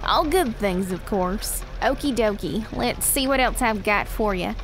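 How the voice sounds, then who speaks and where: A woman's voice: mid-range voice, a little slow, slight background noise, natural voice. A woman playing a chirpy, chatty prison officer in an audio drama, with a folksy, quippy manner. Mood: cheerful, brisk. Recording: music under the voice.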